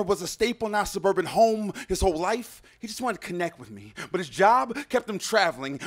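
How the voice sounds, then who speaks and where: A man's voice: sits high, a bit bright. A man performing slam poetry with a dramatic, emphatic, rhythmic delivery. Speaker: slam poet. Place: live poetry slam on stage.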